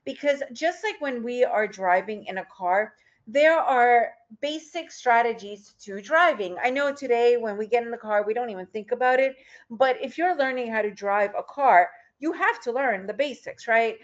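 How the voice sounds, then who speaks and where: A woman's voice: a little high, moderate pace, muffled recording. A middle-aged woman who grew up in the United States, with Russian as her first language, presenting a trading webinar in an upbeat, chatty way and laughing easily.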